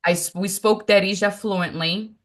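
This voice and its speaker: A woman from Morocco whose first language is Moroccan Arabic, telling a family story as a podcast co-host in an animated, emphatic way with frequent laughs. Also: mid-range voice, normal speed. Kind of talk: casual storytelling chat.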